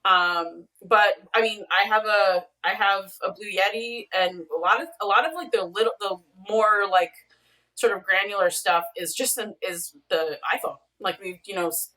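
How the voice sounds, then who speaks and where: A woman's voice: mid-range voice, moderate pace, sharp tone, distant mic, rough recording. A woman chatting casually as an interview guest over a video call, explaining things in a chatty, easygoing way. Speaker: podcast creator and showrunner, interviewed guest. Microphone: video call mic.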